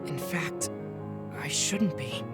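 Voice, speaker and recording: A woman voicing a video game character, a small, child-looking man decades older than he seems, with formal, measured, earnest diction. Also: low voice, expressive. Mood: reflective. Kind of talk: dubbed video game cutscene acting. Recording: music behind the voice.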